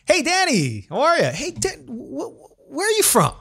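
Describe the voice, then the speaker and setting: A man's voice: extremely high pitch, wildly swooping pitch, moderate pace, gravelly, a bit bright. A man hosting an interview, asking curious questions and laughing often. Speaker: host. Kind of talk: interview conversation.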